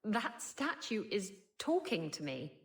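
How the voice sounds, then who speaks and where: A woman's voice: mid-range voice, big pitch swings, takes it slowly. A woman voicing a brash, saucy and suspicious female pirate captain in a video game, salty and sarcastic. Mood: bewildered.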